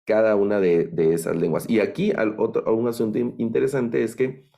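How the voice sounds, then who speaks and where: A middle-aged man with a Guatemalan accent giving an online lecture on biblical languages, thoughtful and halting, with many fillers and restarts. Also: on the low side, steady tone, gravelly, mellow. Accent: Guatemalan Spanish. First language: Spanish. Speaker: Bible language instructor.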